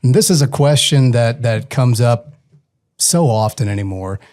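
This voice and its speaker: A man's voice: medium pitch, normal speed, a little mellow. A man hosting a casual interview podcast. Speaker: podcast host.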